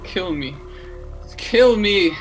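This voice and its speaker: The last man on earth, acted by a man, broods wearily in a radio drama. The delivery is slow and halting, full of despair, with faint music. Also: high-pitched, lively intonation, full character voice, low-quality recording. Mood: resigned. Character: despairing, weary last man, a builder turned scientist.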